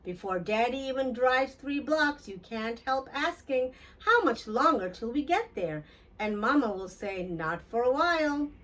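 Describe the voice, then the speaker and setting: A woman reading a children's storybook aloud in an expressive, deliberate storytelling manner for young listeners. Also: sits high, far from the mic, low-quality recording. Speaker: children's book read-aloud host. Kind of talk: scripted storybook narration for children.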